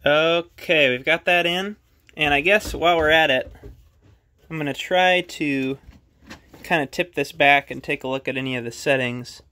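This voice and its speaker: A man with a bright, forceful voice talks through his radio setup at his desk. He speaks in a relaxed, hands-on way, pausing on "uh" as he works the controls. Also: on the high side. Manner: relaxed, thinks aloud with frequent "uh" while handling equipment. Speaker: radio hobbyist showing his gear. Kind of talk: casual hands-on demonstration.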